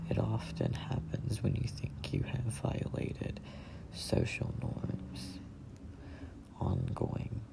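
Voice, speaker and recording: A person in their twenties reading a self-help book aloud in a breathy ASMR whisper, deliberately and with pauses between short phrases. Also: sits low, poor audio. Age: 20s. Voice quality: whispery, breathy, gravelly creak. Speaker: amateur book reader.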